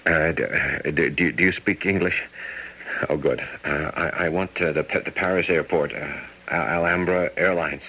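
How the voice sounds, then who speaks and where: A man's voice: low voice, fairly even intonation, normal speed, bright, forceful tone, slightly rough, heavily acted. A man playing a nervous, evasive American abroad in a radio drama, with an American accent and a hesitant stammer. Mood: anxious. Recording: muffled vintage radio recording.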